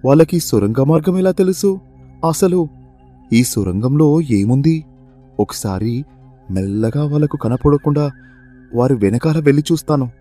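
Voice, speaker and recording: Man voicing a high-pitched, emotional poor farmer. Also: a little slow, long pauses, mellow, faint music behind. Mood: puzzled, wondering. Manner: plaintive, emotional, exclamatory. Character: poor, pleading, honest village farmer wronged by chief. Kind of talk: dramatized moral story for cartoon.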